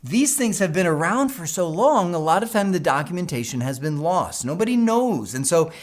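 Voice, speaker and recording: A man's voice: fairly high pitch, big pitch swings, moderate pace. A man instructing in an informal, conversational technical explainer style, often checking in with the listener as he goes. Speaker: instructor explaining school PA systems.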